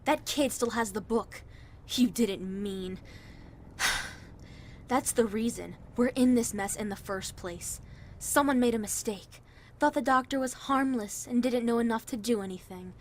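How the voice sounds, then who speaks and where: A woman's voice: a little high, a little slow, slight background noise, lightly acted. A woman playing a cold, bossy conspirator who scolds and commands her underlings in a condescending way. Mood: exasperated, scolding. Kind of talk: dramatic voice acting in audio drama.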